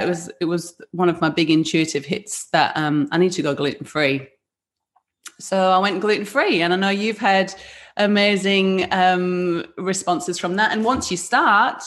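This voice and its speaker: A woman in her forties from the northeast of England with a Yorkshire-tinged northern accent, chatting as an interview guest, upbeat and full of fillers and restarts. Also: fairly low pitch. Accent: Yorkshire-tinged northern English. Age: 40s. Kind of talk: casual interview chat.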